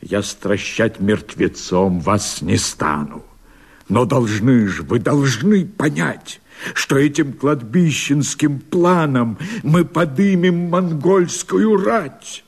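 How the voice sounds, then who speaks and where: An actor playing a fiery rebel leader who proclaims himself emperor, declaiming verse in a radio play with husky, impassioned, theatrical oratory. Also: male voice, mid-range voice, lively intonation, takes it slowly, full character voice. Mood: persuading, impassioned.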